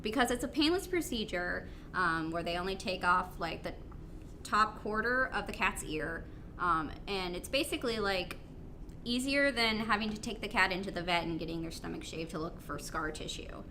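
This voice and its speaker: A woman's voice: mid-range voice, animated, normal speed, sharp tone, slight background noise. A woman chatting casually, explaining things informally in a hesitant, digressive way. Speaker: panelist on a fan Q&A show.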